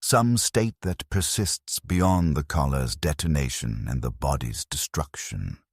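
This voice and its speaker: A man narrating a dark first-person sci-fi story as a bleak, resigned dead convict soldier, in a grave, measured, deliberate way. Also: very deep voice, animated, breathy edge, dry recording, studio quality. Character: bleak, resigned ghost of a condemned legionnaire.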